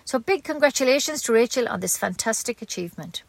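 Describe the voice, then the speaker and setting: A woman's voice: fairly high pitch, animated, fast, creaky, no echo. A woman with an Irish accent reading scripted community news as a local radio newsreader.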